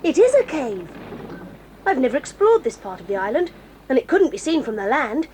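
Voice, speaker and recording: A woman playing a bold, practical tomboy girl, brisk and matter-of-fact in a 1980s audio drama. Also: high-pitched, very animated, darkish tone, poor audio. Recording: faint hiss of old cassette.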